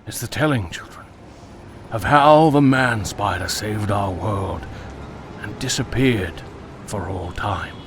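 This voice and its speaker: A man playing a solemn storyteller telling children a legend in a comic dub, measured and reverent, with music behind. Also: lowish voice, a bit bright, a little hiss or hum.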